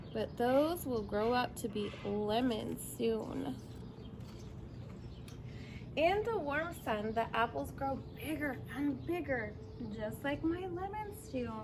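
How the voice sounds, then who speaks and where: A woman's voice: fairly high pitch, animated, slow. A woman in her thirties or forties reads a picture book aloud to young children, expressively, drawing out words and adding simple asides and questions. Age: 30s or 40s. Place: outdoors in a fenced backyard. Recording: outdoor recording with clear background noise.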